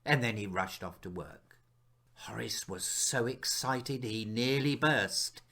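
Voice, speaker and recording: A man narrating a children's picture book as its author, telling it expressively with dramatic pauses and hushed moments. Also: mid-range voice. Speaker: author reading his picture book aloud.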